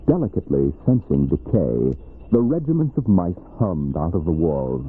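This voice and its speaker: Male narrator, 1950s sci-fi radio: solemn, theatrical, animated medium-pitched delivery, deliberate phrasing, narrow old-broadcast sound. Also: breathy edge. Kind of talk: dramatic scripted narration, 1950s radio drama. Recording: band-limited 1950 radio, loud music. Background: loud dramatic music.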